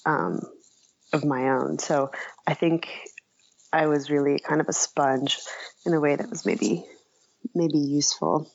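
Low, creaky-voiced woman in casual conversation. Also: measured pace, long pauses, dull audio. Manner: hesitant, self-effacing, frequent hedging. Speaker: writer, interview guest.

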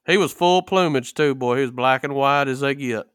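A man's voice: medium pitch, moderate pace, fairly bright tone, clear, resonant tone, no room sound, clean studio sound. A man in a casual podcast chat, telling stories with folksy, rural phrasing. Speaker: podcast co-host.

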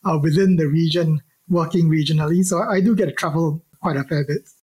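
A man from Singapore, answering interview questions about his work in a halting way with many restarts. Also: on the high side, a little mellow, husky. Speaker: archaeologist guest.

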